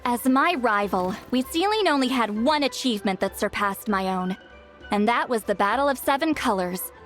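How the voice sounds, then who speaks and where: A woman's voice: on the high side, expressive, moderate pace, fairly bright tone, faint music behind. A woman voicing a proud, boastful, easily flustered great shaman in a video game. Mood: proud, aloof. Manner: haughty, boastful, tsundere defensiveness.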